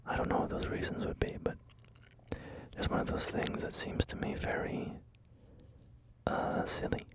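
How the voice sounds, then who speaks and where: A man whispering through a relaxed ASMR gaming video, rambling and joking about himself with many fillers. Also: normal speed. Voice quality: soft unvoiced whisper. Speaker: ASMR creator.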